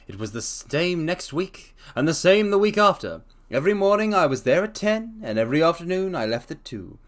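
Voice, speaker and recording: A man's voice: fairly high pitch, sing-song, normal speed. A man voicing an earnest middle-aged shopkeeper who tells his own story plainly.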